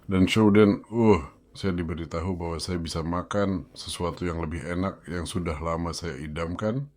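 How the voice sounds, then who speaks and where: A man describing his own stroke recovery, speaking haltingly with frequent fillers. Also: sits low, darkish tone. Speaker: stroke survivor. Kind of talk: personal testimony.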